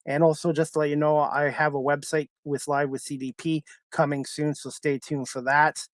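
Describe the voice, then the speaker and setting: A man from Canada with a slightly high voice hosts a casual livestream podcast. He rambles haltingly and circles back on himself, recorded very dry with no room sound. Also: flat delivery, dry recording. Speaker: podcast host.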